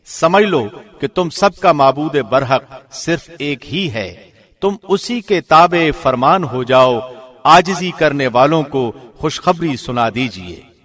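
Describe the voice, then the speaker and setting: A man with a fairly high voice reads scripture translation aloud. His delivery is formal and measured, in the declamatory manner of a radio presenter. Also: animated, a bit bright. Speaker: translation reader, radio host. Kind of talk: scripted religious narration. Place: studio.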